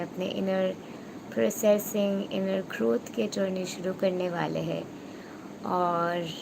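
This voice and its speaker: This is a woman giving a tarot reading to viewers, her voice carrying a gravelly, fry-laden texture at a middling pitch. She stretches her phrases slowly with pauses, then rushes through quick bursts. A clear, steady hiss of noise runs under the recording. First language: Bengali. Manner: slow, drawn-out phrasing with frequent pauses, switching into rapid bursts. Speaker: tarot reader and spiritual coach. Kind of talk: tarot card reading for an online audience.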